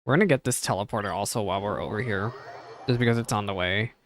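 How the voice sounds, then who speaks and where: A man's voice: fairly low pitch, quick pace, fairly bright tone, slight background noise. A man reacting live to a video game in a casual, chatty way, thinking aloud as he plays. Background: video game music.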